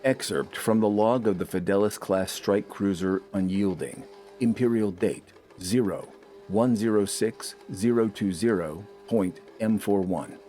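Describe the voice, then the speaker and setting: A man's voice: sits low, warm tone. A man narrating scripted fiction in a dramatic storytelling style.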